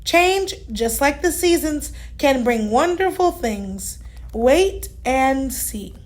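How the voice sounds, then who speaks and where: A woman voicing a gentle, reassuring mother hippo in a children's storybook, soothing and patient. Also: sits high, animated, takes it slowly.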